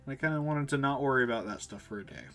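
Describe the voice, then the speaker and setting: Male game streamer, mid-pitched, informal and self-deprecating, faint music underneath. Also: quick pace, a bit bright. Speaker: livestreamer. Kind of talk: casual gaming livestream, reading dialogue aloud.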